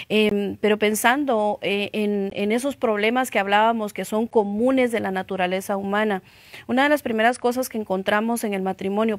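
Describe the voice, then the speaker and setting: A woman's voice: mid-range voice, creaky, no room sound. Guatemalan woman teaching, dry close sound. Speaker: pastor co-teaching with her husband. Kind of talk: conversational Bible teaching.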